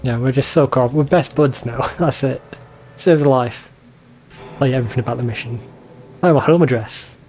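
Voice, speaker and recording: A man's voice: mid-range voice, brisk, a little mellow, faint music behind. A man commentating over a video game as a let's play, casual, with wry joking asides.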